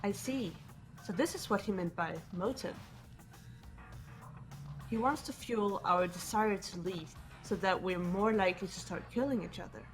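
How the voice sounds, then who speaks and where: Woman as composed student, analytical, middle-pitched, game dialogue, soft music behind. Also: normal speed, long pauses, close to an everyday voice. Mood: calm. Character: calm, analytical young female student. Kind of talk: amateur game voice acting.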